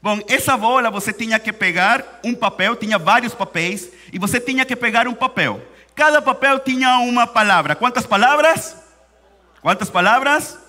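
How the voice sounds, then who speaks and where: A man from Venezuela with a Spanish accent, preaching a sermon in Portuguese, slowing down and repeating key phrases for emphasis. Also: extremely high pitch, lively intonation, sharp tone. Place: church hall. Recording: church hall reverb.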